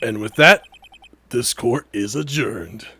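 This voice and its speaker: A man voicing a solemn, easily rattled old judge in a video game, with a gravelly voice. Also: medium pitch, slow, sharp tone, no room sound, exaggerated voice. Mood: stern, formal.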